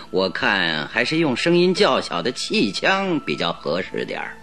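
An actor playing a shrewd, calm detective who talks in a measured, persuasive way with sly confidence in a radio drama. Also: male voice, lowish voice, soft background music, full character voice.

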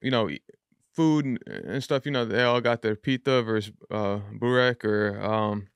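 A man's voice: fairly low pitch, animated, moderate pace, clean tone, no room sound. A young adult man, a rapper as a guest on a podcast, talking loosely and at length with plenty of fillers. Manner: loose, chatty, frequent fillers. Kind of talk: casual interview talk.

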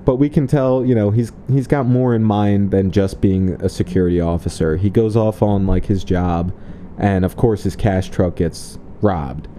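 A man's voice: fairly low pitch, fairly even intonation, moderate pace, mellow, slight background noise. A man co-hosting a podcast, casually talking through a film and joking by acting out characters in mock voices. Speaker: podcast co-host.

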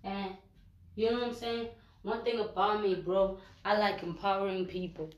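A woman with a flu-roughened voice, talking casually to her viewers in a rambling vlog, full of slang and repeated fillers. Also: mid-range voice, fairly even intonation, normal speed, low-quality recording. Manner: slangy, repeats fillers like "you know what I'm saying". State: hoarse from flu. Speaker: vlogger.

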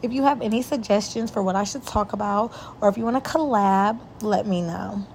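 A young woman hosts a casual faith-based podcast. Her voice is raspy all the time, and she chats loosely and warmly with her listeners, often calling them "y'all". A faint hiss sits under the recording. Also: mid-range voice, a little fast, low-quality recording. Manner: chatty, addresses listeners as "y'all". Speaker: podcast host.

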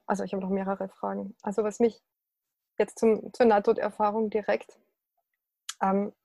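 A woman with a soft, dark timbre and medium pitch acts as interviewer. She feels her way into questions in a reflective, conversational manner. The recording is close and dry. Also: dry recording.

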